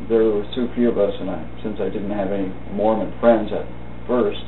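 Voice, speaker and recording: Male reader for a reflective essay, lowish pitch, measured halting phrasing, thin phone-like sound. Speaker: reader of a thought-for-the-day video. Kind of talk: read-aloud personal essay, meditation. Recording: tinny band-limited audio, roomy echo, faint hum.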